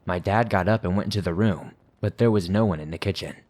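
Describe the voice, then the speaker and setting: A man with a fairly low voice narrates scary listener stories for his podcast. He reads in a steady, measured way, keeping the telling even and unhurried. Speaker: horror podcast host. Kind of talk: scripted horror story narration.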